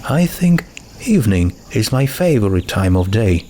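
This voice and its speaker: A man's voice: fairly low pitch, big pitch swings, normal speed, dark tone, slight background noise. A man voicing a friendly, homesick teenage girl in a storybook, talking in a casual, chatty way. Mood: wistful, fond.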